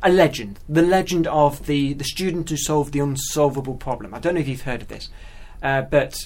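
A man telling stories as a guest in casual conversation, animated and often repeating words as he goes. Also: fairly high pitch, brisk. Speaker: guest mathematician.

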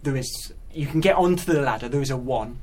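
A man's voice: mid-range voice, fairly quick, darkish tone. A man who is a guest mathematician, explaining things in casual conversation and thinking aloud hesitantly.

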